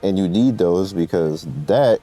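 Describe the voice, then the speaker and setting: A man's voice: low voice, unhurried, mellow, soft background music, rough recording. A man giving casual, informal gameplay commentary, rambling through his explanations in slangy language as he guides viewers. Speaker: gamer presenting a game guide.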